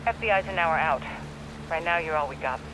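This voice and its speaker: An actor playing an urgent, businesslike surveillance handler directing field troops in a video game. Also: male voice, on the high side, brisk, lightly acted. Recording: very noisy scene with vehicles, sirens.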